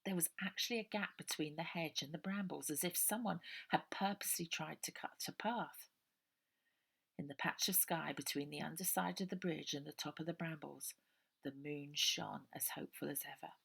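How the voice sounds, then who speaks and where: A woman reading a children's novel aloud as a storyteller, in an expressive scripted style. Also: sits low, moderate pace, creaky, slightly rough.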